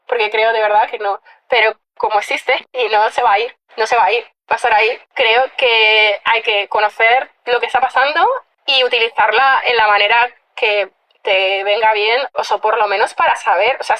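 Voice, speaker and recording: A woman's voice: a little high, fairly bright tone, poor audio. A woman from Spain, a writer guest on a podcast, talking casually and thinking aloud with fillers as she goes. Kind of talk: casual interview talk.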